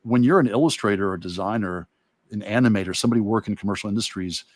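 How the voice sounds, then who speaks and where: A man's voice: fairly low pitch, fast, dry recording. A man speaking casually and reflectively as a guest illustrator explaining his work on a livestream, thinking aloud as he goes. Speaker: guest illustrator and art teacher.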